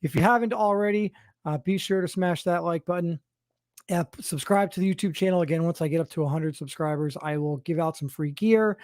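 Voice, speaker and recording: A man hosting as a sports team general manager, announcing to followers and stressing names and stats with deliberate emphasis. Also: on the high side, darkish tone, no echo, clean studio sound.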